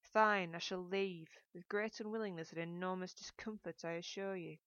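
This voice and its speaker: A woman voicing an amorous, teasing gentleman in an audiobook, with a coaxing, wry and formal manner. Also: fairly low pitch, steady tone, moderate pace, no echo, dull audio, close to an everyday voice. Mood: wry, reluctant. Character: amorous, teasing gentleman lover, smoothly persistent.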